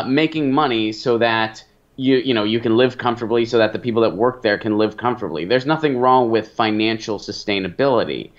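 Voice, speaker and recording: A man co-hosting a political talk show, giving opinions in a halting, emphatic way as he thinks aloud. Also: medium pitch, dull audio.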